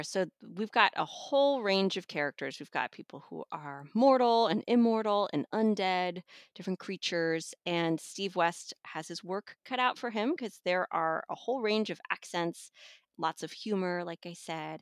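A woman's voice: fairly low pitch, no room sound, studio quality. A woman talking enthusiastically about an audiobook as an interview guest, laughing now and then. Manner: enthusiastic, conversational, laughs often. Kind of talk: casual interview chat.

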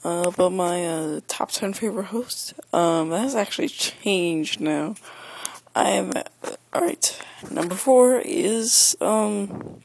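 A man leaving a casual listener message in a hushed, halting near-whisper. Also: high-pitched, gravelly. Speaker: listener calling in with a recorded message.

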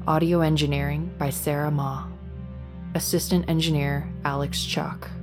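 A woman reading scripted credits as an announcer in a formal, matter-of-fact manner. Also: deep voice. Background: prominent music.

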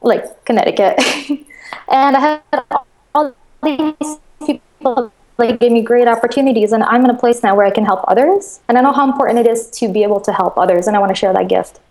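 A woman's voice: on the high side, a little fast, a little mellow, low-quality recording. A woman from Russia, guest in a casual interview, talking enthusiastically and chattily about her crowdfunding platform over a video call. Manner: enthusiastic, chatty, laughs often.

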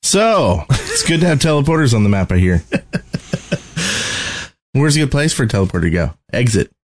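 A man's voice: mid-range voice, very animated, moderate pace. A man co-hosting a podcast, chatting casually about video game strategy. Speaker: podcast co-host.

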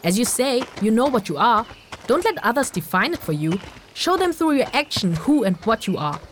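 A woman playing a cheeky, outspoken traveling companion in an audio drama, flippant and expressive. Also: on the high side, moderate pace, slight background noise. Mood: candid, probing.

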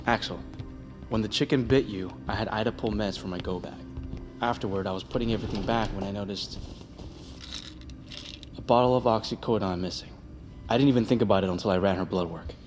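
An actor playing a calm, guarded medic and leader in an audio drama, measured and authoritative. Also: male voice, on the low side, over music, close to an everyday voice.

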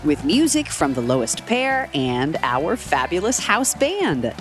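A woman's voice: deep voice, wildly swooping pitch, unhurried, soft background music. A woman, working as a radio show announcer and playful co-host.

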